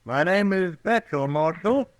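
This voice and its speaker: An actor playing a genial, formal, ingratiating newcomer doctor in an old-time radio western. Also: male voice, high-pitched, expressive, a little slow, a little hiss or hum. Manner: courtly, ingratiating.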